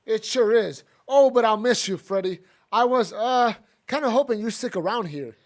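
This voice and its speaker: Man playing an eager high-voiced young cub reporter. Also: lively intonation, normal speed. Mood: wistful, friendly. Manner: boyish slang. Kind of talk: 1940s-style radio drama acting.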